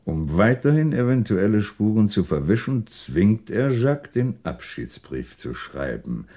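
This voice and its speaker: An actor playing a shrewd, composed French police commissioner in a German radio crime drama, deliberate and dryly ironic. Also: male voice, lowish voice, very animated, dark tone. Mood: calm, expository.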